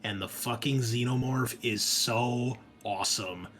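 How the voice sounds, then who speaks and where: A man's voice: medium pitch, fairly even intonation, a little slow, a bit bright, slight background noise. A man hosting and running a tabletop game in a casual, enthusiastic, sweary, conversational way. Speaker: game master and host.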